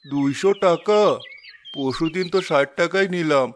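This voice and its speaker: An actor voicing a pious elderly man in a cartoon who speaks slowly and reproachfully. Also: fairly high pitch, a little hiss or hum, natural voice. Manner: reproachful, slow and measured.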